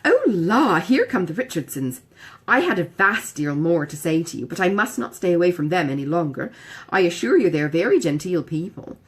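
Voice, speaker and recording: A woman voicing a silly, chattering young gentlewoman in an audiobook, gushing and exclamatory. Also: lowish voice, big pitch swings, moderate pace, breathy edge. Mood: hurried, chatty. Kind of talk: audiobook character dialogue.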